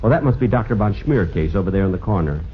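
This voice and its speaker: A man acts a hapless, worried patient with a mid-pitched, very animated voice. He sounds plaintive, with comic timing, in a hissy 1950s radio sketch. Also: fast, narrow, tinny audio, natural voice. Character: anxious, hapless male patient seeking a specialist. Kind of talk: 1950s radio comedy acting.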